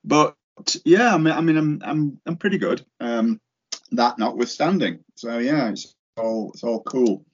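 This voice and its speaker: A male podcast guest chatting casually, his voice croaky from a recent cold. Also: medium pitch, animated, measured pace. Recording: dull lo-fi sound.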